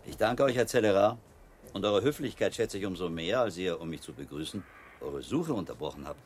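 An actor playing a shrewd, middle-aged learned friar in a radio play, a wry and self-assured mentor who explains things precisely. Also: male voice, sits low, expressive, fairly quick, slightly rough. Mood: courteous, assured.

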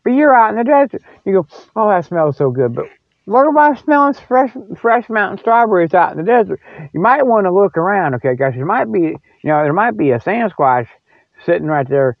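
A man's voice: high-pitched, big pitch swings, fairly quick, gravelly, no echo. An older American man hosting a podcast in rambling, casual solo chat, with a folksy, jokey way of talking. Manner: folksy, jokey, often chuckles. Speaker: podcast host. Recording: dull lo-fi audio.

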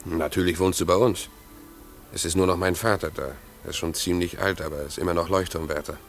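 A man's voice: sits low, gravelly. An actor playing an earnest, easygoing young man in love, plain-spoken and affectionate in a 1950s radio play. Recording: 1950s radio recording, faint music behind.

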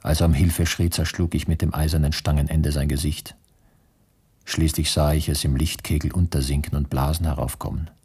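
An actor playing an embittered male communist prisoner in a radio play, reciting his letters in a grave, measured, reflective way with a husky voice. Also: a bass voice, flat delivery, a little mellow, heavily acted. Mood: grave, confessional. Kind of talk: literary radio play monologue.

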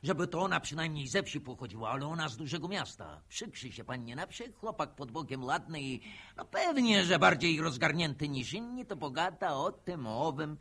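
Cocky village wheeler-dealer, highish swoopy voice, wheedling, radio play. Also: male voice, expressive, brisk, few pauses, sharp tone, slightly rough, exaggerated voice. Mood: needling. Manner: glib, boastful, needling. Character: cocky, wheedling village schemer, male, talking his way out. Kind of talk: radio drama dialogue.

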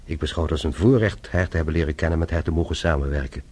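An actor playing a courteous police investigator in a radio play, speaking in a formal, deliberate way. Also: male voice, a bass voice, darkish tone. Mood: measured, appreciative. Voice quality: husky. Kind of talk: radio drama acting.

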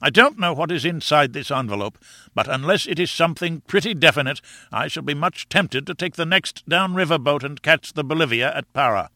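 A bitter elderly professor, acted out by a male narrator, speaks with a bright, cutting edge. He is formal, indignant and scornful of everything, like a sceptic in an adventure storybook. The sound is close and dry. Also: a little high, lively intonation, a little fast, no room sound, pitched above the performer's own voice.

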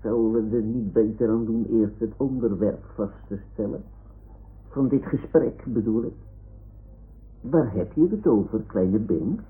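A man's voice: fairly low pitch, measured pace, natural voice. Casting: male actor for a pompous toy lion, fussy grumbler and confidant, vintage radio drama, tinny narrow-band sound. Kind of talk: 1960s Dutch radio play acting.

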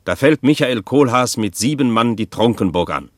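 An actor playing a sober chronicler, narrating a radio play in a measured, matter-of-fact way. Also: male voice, mid-range voice, animated, dry recording, clean studio sound, natural voice. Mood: dry, suspenseful. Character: sober male chronicler reporting a rebel's case.

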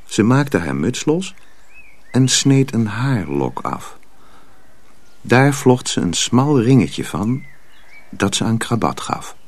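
A man's voice: medium pitch, sing-song, slow, pauses often, a little mellow. A man narrating a radio drama as a scripted storyteller.

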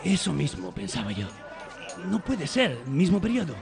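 An actor in a radio play playing a nervous, deferential archaeological assistant, hesitant and agitated. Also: male voice, a little high, wildly swooping pitch, moderate pace, creaky, exaggerated voice. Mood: puzzled, concerned. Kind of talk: radio theatre acting. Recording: radio drama with music, outdoor ambience.

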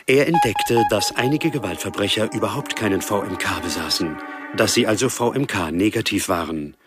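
An actor playing a formal, matter-of-fact narrator reading a scientific report in a radio drama. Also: male voice, on the low side, lively intonation, moderate pace, music in the background, lightly acted. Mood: sober, factual. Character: sober, factual male narrator of a scientific report.

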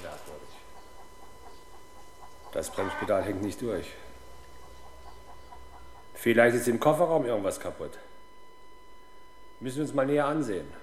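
A world-weary police inspector with a soft heart under the grumbling. His delivery is dry and commanding, with lively swings of pitch. It is 1970s radio crime drama, with faint background noise. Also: measured pace, pauses often. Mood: probing. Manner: grumbling, dryly ironic, commanding. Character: gruff, world-weary but kind-hearted middle-aged police inspector.

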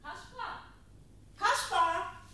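A woman's voice: very high voice, very slow, pushed and bright, full character voice. An actress playing a worried middle-aged mother in a radio drama, anxious and exclamatory. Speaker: actor in a radio play. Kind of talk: German radio drama acting. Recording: very echoey room with background noise.

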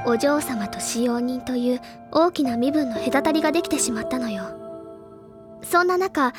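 An actress playing an earnest, bookish senior schoolgirl in an audio drama, speaking articulately and dramatically like a storyteller. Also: high voice. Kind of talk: Japanese audio drama acting, literary storytelling. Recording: loud background music under voice.

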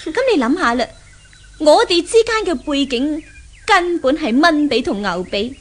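Haughty, high-voiced Cantonese rich girl. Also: animated, measured pace. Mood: threatening. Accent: Hong Kong Cantonese. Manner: haughty, taunting. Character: haughty, jealous rich young woman threatening her love rival. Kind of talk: 1970s Hong Kong radio drama acting. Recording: noisy old 1970s radio recording.

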